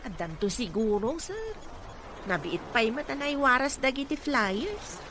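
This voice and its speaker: A woman's voice: high-pitched, sing-song, measured pace, background noise. A woman playing a friendly, deferential housemaid in a radio drama, chatty in manner.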